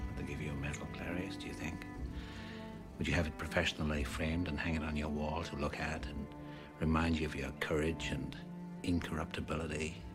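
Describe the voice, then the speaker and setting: A refined, sinister killer taunts in a very low, soft voice. Each phrase is precise and mocking, with loud film music underneath. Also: male voice, unhurried. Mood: quiet taunting. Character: cultured, taunting male killer, coolly sinister. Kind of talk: intimate film monologue.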